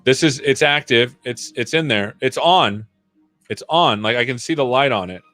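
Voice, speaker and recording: A man hosts a developer livestream, working through code live and talking it out as he goes. His voice sits at an ordinary middle pitch, and he often drops to a mutter when talking to himself. Soft music plays faintly behind him. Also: moderate pace. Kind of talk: live coding, thinking aloud.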